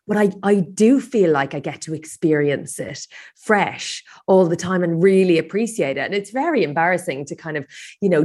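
A woman's voice: on the low side, a little mellow, breathy edge. An Irish woman guest in casual chat, talking freely with frequent restarts and laughs. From Ireland.

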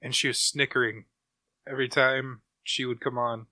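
A man's voice: mid-range voice, little pitch movement, normal speed, sharp tone, no echo. A man co-hosting a podcast, chatting casually. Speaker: podcast co-host. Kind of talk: casual chat.

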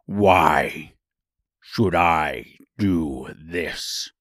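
Storybook monster: enormous, imperious, gruff growl, very low and drawn out. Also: male voice, a bit bright, dry recording, studio quality, full character voice. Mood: gruff, demanding. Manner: slow, drawn-out, commanding. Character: huge terrible male beast, imperious and menacing.

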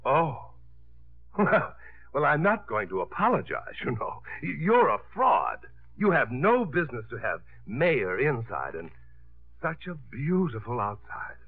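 An actor playing a glib, flirtatious, slightly absent-minded artist in a 1950s radio comedy. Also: male voice, medium pitch, huge pitch swings, measured pace, husky, heavily acted. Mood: teasing, glib. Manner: glib, teasing, bossy. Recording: dry, tinny 1950s radio broadcast.

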